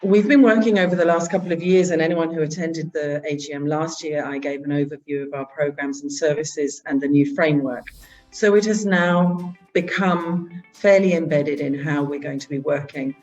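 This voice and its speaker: Woman, halting presentation. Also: low-pitched, steady tone, a little mellow, highs cut off, soft background music. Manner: halting, with frequent filler sounds. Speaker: head of a charity organisation. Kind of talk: virtual meeting presentation.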